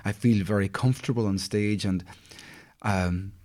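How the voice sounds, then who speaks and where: Male interview guest, Northern Irish accent, slightly low voice, friendly and talkative, informal storytelling. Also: steady tone, moderate pace, a little mellow. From County Derry, Northern Ireland. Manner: chatty, friendly, frequent you-knows. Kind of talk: casual reminiscing interview chat.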